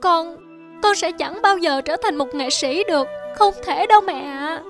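A woman's voice: very high voice, a little slow, over music. A woman voicing a timid, self-doubting young girl in a cartoon, with a hesitant, plaintive manner. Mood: anxious, despairing. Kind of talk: animated fairy-tale dubbing.

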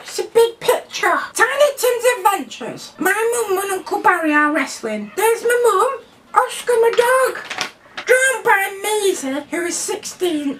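Squeaky, very high little-boy voice. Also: fairly bright tone, recorded from a distance, slight background noise, full character voice. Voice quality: squeaky, helium-like. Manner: gushing, cheeky, childlike exclamations. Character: squeaky, excitable little boy character opening fan mail. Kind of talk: playful unboxing and letter reading.

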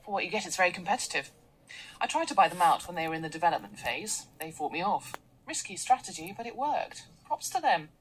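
Clipped, bossy tech CEO. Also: female voice, lowish voice, big pitch swings, fast, a little hiss or hum, rough recording. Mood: brisk, commanding. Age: 30s. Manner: clipped, commanding, always on the phone. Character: brisk powerful female tech CEO, impatient. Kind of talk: BBC radio drama acting.

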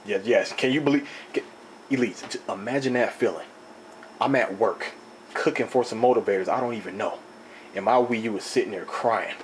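A young man with a slightly low, slightly raspy voice tells a rambling, animated story to his viewers. He talks into a camera mic in an indoor room, with a faint noise behind him. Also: moderate pace. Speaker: video host. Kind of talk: casual unboxing talk. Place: indoor room, table top.

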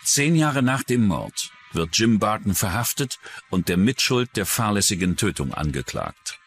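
Low-pitched male true-crime narrator, lively intonation. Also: unhurried, a little hiss or hum. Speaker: documentary narrator.

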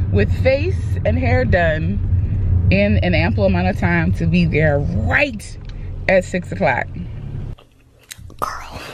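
A woman's voice: fairly low pitch, very noisy. Chatty middle-aged woman vlogging. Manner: chatty, fussing, lively. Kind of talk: casual vlog chat. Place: home studio room. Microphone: camera at close range.

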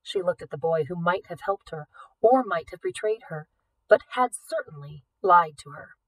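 A woman narrating a novel as an audiobook reader, with expressive delivery. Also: on the low side, normal speed, husky, dry recording, recorded from a distance, low-quality recording. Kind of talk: expressive scripted fiction narration.

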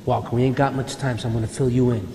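A man's voice: mid-range voice, level tone, very fast, noisy background, natural voice. A male actor playing a businesslike American military squad leader in a game cutscene, terse and commanding with soldier slang. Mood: businesslike, urgent. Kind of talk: video game cutscene acting. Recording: echoey hall reverb under loud music.

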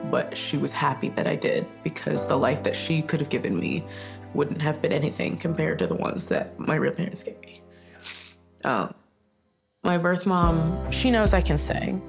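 A woman's voice: low-pitched, creaky. A young woman from Maine chatting informally as a contestant in a talent show audition interview. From Maine, United States. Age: young adult. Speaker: singing contestant. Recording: music behind TV audition talk.

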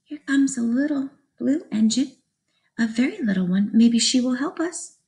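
A woman's voice: a little high, moderate pace, creaky. A woman doing an eager little toy clown. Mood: pleading. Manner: pleading, excited.

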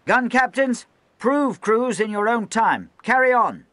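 A man voicing a young, anxious naval lieutenant who barks shrill, clipped orders. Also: fairly quick, a bit bright, dry recording. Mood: shrill commanding.

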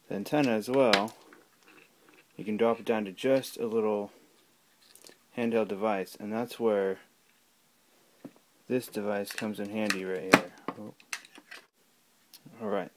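A man giving a casual, unscripted gadget demonstration at home, talking in a halting, rambling way. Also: fairly low pitch, darkish tone. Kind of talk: casual unscripted product demo. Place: small room at home. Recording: clear background noise with clicks.

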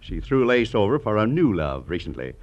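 A man's voice: lowish voice, very animated, fairly quick. A man playing a stern, exasperated wealthy guardian in an old radio drama, brusque and authoritative. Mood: stern, worried. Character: stern, exasperated rich uncle and guardian, middle-aged or older. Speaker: supporting actor in a radio drama. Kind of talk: 1950s radio drama acting. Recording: dull old radio recording.

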